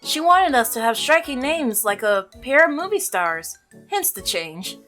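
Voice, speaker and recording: A woman's voice: sits high, very animated, a little slow, pushed and bright, soft background music, heavily acted. Woman voicing an earnest, devoted milkman. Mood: fond, devoted. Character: earnest, devoted married milkman, practical working man. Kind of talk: visual novel character reading.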